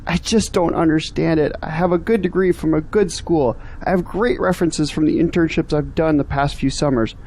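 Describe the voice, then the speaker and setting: A man plays a young son in an audio drama, frustrated and earnest. His voice sits a little high for a man and has a mellow tone. There is some faint noise in the recording. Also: normal speed, hardly any pauses, natural voice, rough recording. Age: young adult. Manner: earnest, complaining.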